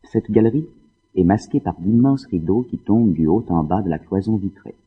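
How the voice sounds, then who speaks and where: A man narrating an audio drama, reading scripted stage directions in a husky voice. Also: fairly low pitch, lively intonation, normal speed. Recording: muffled, narrow phone-like sound.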